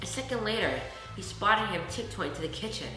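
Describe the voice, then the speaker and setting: Lively woman reading a bedtime story, very echoey. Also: medium pitch, brisk. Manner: expressive, dramatic. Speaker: storyteller reading a children's book. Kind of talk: animated bedtime story narration. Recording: very echoey room, music underneath. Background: music.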